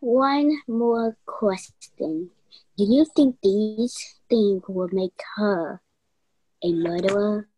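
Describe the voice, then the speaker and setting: Woman doing cool snooty investigator, radio play, mellow voice, dry close sound. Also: medium pitch, very slow, breathy edge, no room sound, low-quality recording. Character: cool, condescending female investigator. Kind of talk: stage-style mystery radio play acting.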